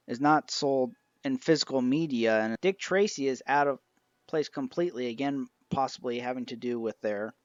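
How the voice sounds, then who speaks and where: A man's voice: medium pitch, no room sound, highs cut off. A man narrating an informal review of a collection as its reviewer. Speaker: reviewer of an old radio show collection. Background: music.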